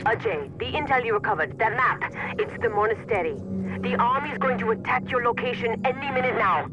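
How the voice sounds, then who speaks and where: A woman playing a brisk, urgent rebel commander over a radio in a video game. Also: a little high, over loud music. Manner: urgent, brisk military briefing. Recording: muffled radio, very loud noise.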